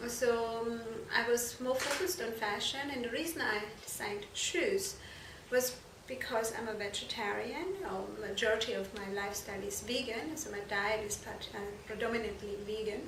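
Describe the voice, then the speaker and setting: A woman from Germany, answering interview questions earnestly and haltingly, correcting herself as she goes. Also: on the high side, steady tone. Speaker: fashion and shoe designer being interviewed. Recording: echoey room, distant mic, noisy background.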